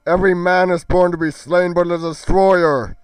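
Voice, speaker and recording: A man's voice: high voice, steady tone, moderate pace, clear, resonant tone, no echo, soft background music, pitched above the performer's own voice, natural voice. A man playing a fierce, defiant fantasy warrior in a grandiose, declamatory manner.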